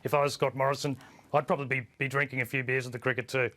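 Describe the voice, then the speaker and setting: Man, husky voice, plain-spoken, lots of ums, tv panel guest, linked in from a remote studio. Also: medium pitch, flat delivery, rapid-fire, bright, forceful tone. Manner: plain-spoken, hesitant with many fillers. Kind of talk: live TV panel discussion.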